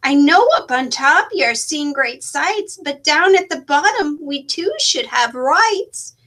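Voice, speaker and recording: A woman's voice: sits high, lively intonation, a little slow, pushed and bright, exaggerated voice. A woman voicing a meek, suffering little male turtle in a children's storybook, pleading politely and plaintively. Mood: pained pleading. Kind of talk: children's storybook read-aloud.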